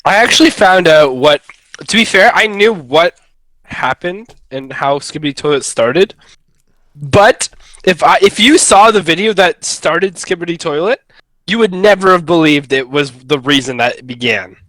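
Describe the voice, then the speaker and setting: A man from Greece co-hosting a casual podcast chat with friends, animated and swearing, often breaking into laughter. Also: on the high side, normal speed, dry recording, low-quality recording. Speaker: podcast co-host.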